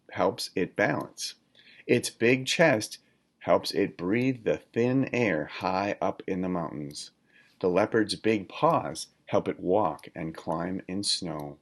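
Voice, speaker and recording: A man reading a children's nonfiction book aloud in a deliberate, instructional manner, like a teacher. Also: low-pitched. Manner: deliberate, instructional, poses questions to listeners. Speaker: read-aloud reader, teacher.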